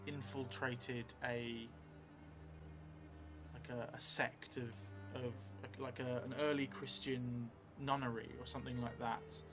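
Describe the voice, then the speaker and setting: A middle-aged man thinking aloud as he improvises a story for a solo role-playing game, halting and musing. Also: mid-range voice, little pitch movement. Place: small indoor room at a table. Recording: music behind the voice.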